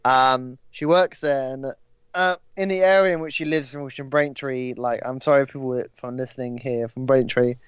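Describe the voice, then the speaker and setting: A man's voice: medium pitch, fairly even intonation, normal speed, clear, resonant tone, dry recording. A twenty-year-old man from England, a podcast guest who chats casually and rambles with frequent restarts. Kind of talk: casual chat. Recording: dry, slightly dull audio.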